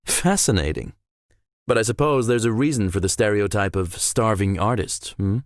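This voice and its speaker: A man's voice: fairly low pitch, animated, moderate pace, no room sound, clean studio sound, close to an everyday voice. A man voicing a suave, self-assured young billionaire in a visual novel, with formal, polished and theatrical phrasing. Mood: charming, confident. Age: young adult. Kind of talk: visual novel character acting.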